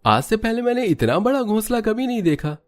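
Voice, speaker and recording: A man's voice: sits high, big pitch swings, fairly quick, voice pushed up. A man voicing an astonished, curious young village visitor in a Hindi cartoon story, exclaiming and questioning in wonder. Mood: amazed, puzzled. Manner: exclamatory, wide-eyed questioning.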